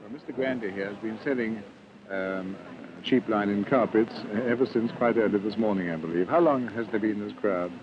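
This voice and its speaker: A man's voice: on the low side, fairly quick. A man, a polite and hesitant reporter interviewing shoppers on the spot in a busy store. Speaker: newsreel reporter. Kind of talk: on-the-spot vox pop interviewing. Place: crowded department store sales floor. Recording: muffled 1950s newsreel, clear background noise.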